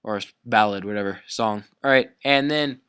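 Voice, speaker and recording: A man's voice: on the low side, moderate pace, a bit bright, clean tone, no room sound, clean studio sound. A young man telling a story casually as a YouTube storyteller, in a slangy, profane, rambling way.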